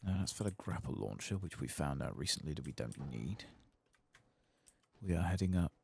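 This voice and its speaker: Deep, gravelly, soft-spoken gaming man. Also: expressive, moderate pace. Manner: murmuring to himself while playing, low-key. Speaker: game streamer, host of an ASMR playthrough. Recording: keyboard and mouse clicks behind voice.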